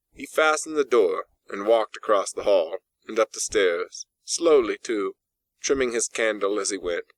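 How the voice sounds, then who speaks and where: A man with a fairly low voice narrates a classic tale as an audiobook. His delivery is deliberate and dramatic, leaning on pauses for emphasis. The recording is close and dry, with little room sound. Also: a bit bright, no room sound. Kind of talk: scripted storybook narration of a classic ghost tale.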